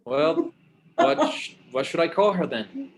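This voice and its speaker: An actor playing an exasperated but affectionate grown son in a table read, repeating words hesitantly. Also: male voice, high voice, wildly swooping pitch, measured pace, creaky, slight background noise, exaggerated voice. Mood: wry, teasing. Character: adult son, exasperated yet affectionate with his father. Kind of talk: screenplay table read acting.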